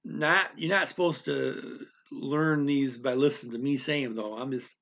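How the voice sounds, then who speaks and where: A man's voice: mid-range voice, steady tone, moderate pace, gravelly, a bit bright. Rambling man teaching casually. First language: English. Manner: rambling, restarts often, speaks directly to the learner with frequent "okay?". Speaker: language teacher. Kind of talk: casual spoken lesson.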